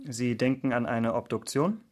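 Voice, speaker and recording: A brisk period police corporal, acted by a man, questioning in clipped, formal phrases. His voice sits at a medium pitch, with the official manner of a radio crime play. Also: a little mellow, close to an everyday voice. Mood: official, probing. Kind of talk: German radio crime drama acting.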